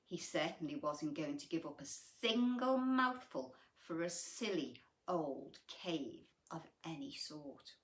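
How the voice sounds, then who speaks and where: A woman reading a bedtime picture book to children, with gentle, chatty asides and questions to her young listeners. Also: sits low, sing-song, takes it slowly, few pauses, reverberant.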